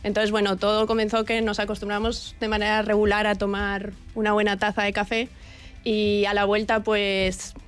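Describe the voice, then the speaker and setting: Relaxed woman café owner on radio. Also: mid-range voice, moderate pace, clear, resonant tone, soft background music. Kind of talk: radio interview.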